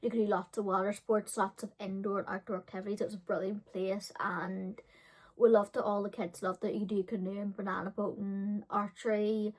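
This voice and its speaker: A woman's voice: medium pitch, level tone, normal speed, rough recording. Rambling woman in her thirties telling stories. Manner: rambling, filler-heavy, self-correcting. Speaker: beauty and storytime vlogger. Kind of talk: casual rambling personal storytelling to viewers.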